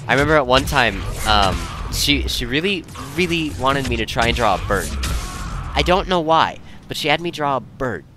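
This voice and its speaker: A man's voice: mid-range voice, expressive, moderate pace, over music. A young man giving rambling, casual commentary in an easygoing, self-deprecating, digressive way. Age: young adult. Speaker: video creator and commentator.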